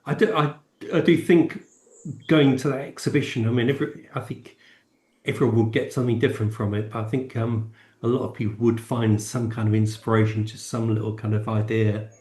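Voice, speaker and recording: A man's voice: mid-range voice, steady tone, a little fast, a little mellow. A man co-hosting a podcast, discussing casually with tentative, circling phrasing. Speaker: podcast co-host. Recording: roomy, echoing sound.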